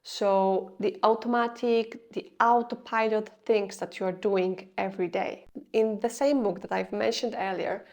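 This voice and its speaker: A woman's voice: mid-range voice, steady tone, a little slow, hardly any pauses, darkish tone. A young woman with a Polish accent speaking English as a second language, giving an earnest, confessional vlog talk. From Poland. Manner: earnest, confessional, slightly non-native grammar. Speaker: video creator. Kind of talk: scripted explanatory vlog.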